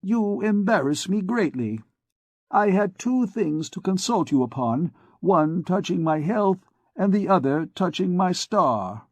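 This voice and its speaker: A shrewd, genial older man read by a male narrator probes with curious questions in a raised, smooth voice. The delivery is close-miked and dry, with a gentle coaxing lilt. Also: high voice, animated, measured pace, no room sound, pitched above the performer's own voice, full character voice. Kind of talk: audiobook character reading.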